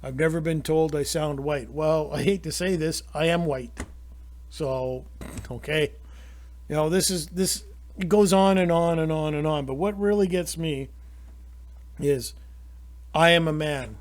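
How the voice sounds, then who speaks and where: A man's voice: fairly high pitch, measured pace, long pauses. A middle-aged man with a Canadian accent, talking through his opinions in a rambling, indignant monologue full of restarts. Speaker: channel host.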